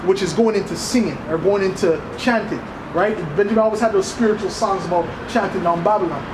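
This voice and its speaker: High-voiced man preaching amid loud noise. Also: quick pace. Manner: insistent, repeatedly seeking agreement with tags like right and okay. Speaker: street preacher. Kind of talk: informal street preaching and scripture explanation. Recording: echoey, very noisy outdoor street recording.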